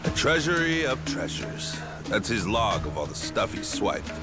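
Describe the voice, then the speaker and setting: A man's voice: on the low side, big pitch swings, a little slow, loud music behind the voice. A man playing a wry pirate crewman in a video game, casual, slangy and sardonic. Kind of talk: video game cutscene acting.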